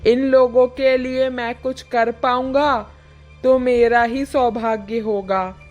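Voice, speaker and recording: Woman voicing a slow, placating cartoon uncle. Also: fairly high pitch, steady tone, darkish tone, faint music behind. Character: obliging, nervous adult man, a helpful uncle. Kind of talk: animated Hindi horror story dialogue.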